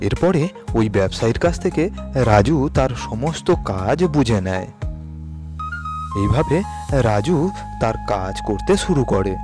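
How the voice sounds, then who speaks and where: A man narrating a children's moral story as a scripted storybook narrator. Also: lowish voice. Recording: loud music under the voice.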